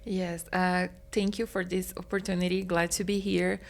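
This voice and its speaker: Gravelly woman explaining calmly. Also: lowish voice, moderate pace. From Brazil. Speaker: meat science professor, guest. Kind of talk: interview answers.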